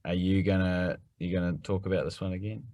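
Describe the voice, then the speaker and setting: A man co-hosting a golf rules podcast, explaining quickly and teasing his partner in casual chat. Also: sits low, level tone, mellow, no room sound. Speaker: podcast co-host.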